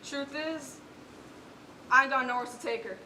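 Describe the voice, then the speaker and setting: A woman's voice: high-pitched, normal speed, pushed and bright. A woman playing a pushy, scheming backwoods drifter in a stage play, with folksy, ungrammatical, wheedling talk. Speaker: student actor in a one-act play. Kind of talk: projected stage acting. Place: indoor stage, recorded live. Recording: echoey stage, distant mic, faint noise.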